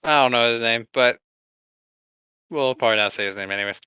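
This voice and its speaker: A man with a bright, crisp voice chats casually as a podcast co-host. The recording is dry and close, and his delivery is conversational and joking. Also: mid-range voice, brisk, no echo. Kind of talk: casual banter.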